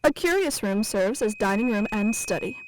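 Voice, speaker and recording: A woman's voice: medium pitch, lively intonation, normal speed, slight background noise, lightly acted, poor audio. A woman playing an eager novice radio actress who reads stage directions in a polite, careful way. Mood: formal, reading. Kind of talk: theatrical radio play acting.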